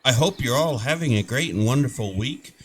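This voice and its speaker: A man's voice: medium pitch, animated, a little fast. Man, gameplay commentary host, getting over a head cold, rambling casual chat, faint background noise. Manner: rambling and chatty, with many restarts. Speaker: gaming channel host.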